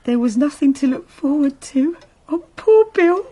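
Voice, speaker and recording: An actress playing an evasive working-class English woman posing as a bereaved sister in a radio drama, fussy, halting and over-apologetic. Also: high voice, expressive, dark tone. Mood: mournful, flustered.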